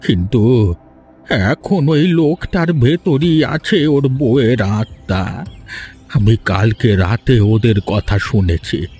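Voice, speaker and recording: A man voicing a frightened old village captive in a cartoon, confessing a dark secret in a halting, fearful way. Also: medium pitch, animated, faint music behind. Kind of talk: dramatic cartoon voice acting.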